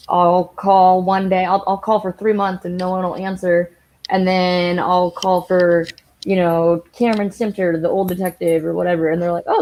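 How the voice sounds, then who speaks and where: A woman guest in an interview, a member of a victim's family, talking in an animated and exasperated way. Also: on the low side, poor audio. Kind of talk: casual interview talk.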